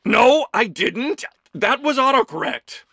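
A man's voice: very high-pitched, expressive, takes it slowly, creaky, pushed and bright, no echo, studio quality, pitched above the performer's own voice, exaggerated voice. A man voicing a shy young man, nervous and stammering. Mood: flustered.

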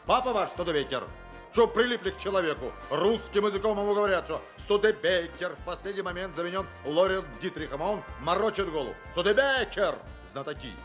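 A man's voice: fairly high pitch, a bit bright. An actor playing a brash, sardonic male con man in a radio play, glib, grandiose and biting. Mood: exasperated. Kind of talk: Soviet radio play acting.

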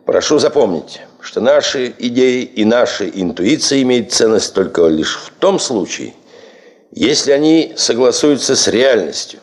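An actor playing a middle-aged, exacting expedition chief in a radio play, speaking with measured authority. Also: male voice, mid-range voice, animated, natural voice. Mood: stern, insistent. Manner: measured, authoritative, clipped orders. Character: commanding, exacting male chief of a gold-prospecting expedition.

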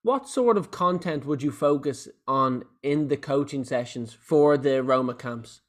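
A man's voice: medium pitch, unhurried. A man hosting a podcast, asking open follow-up questions in an encouraging way. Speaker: podcast host. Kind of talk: interview questions.

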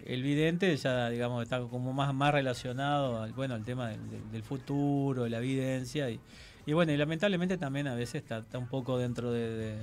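Male guest, mid pitch, measured and hedging. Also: slight background noise. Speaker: interview guest, psychic. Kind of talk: casual radio interview.

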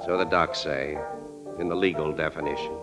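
An actor playing a wry, worldly American newspaper photographer, slangy and halting, in a 1940s radio drama. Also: male voice, extremely low pitch, steady tone, loud music behind the voice. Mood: explaining. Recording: vintage radio broadcast recording.

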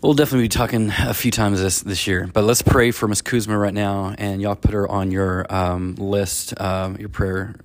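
A man's voice: fairly low pitch, steady tone, normal speed, hardly any pauses. A man hosting a faith talk, encouraging his guest and leading a prayer. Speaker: host.

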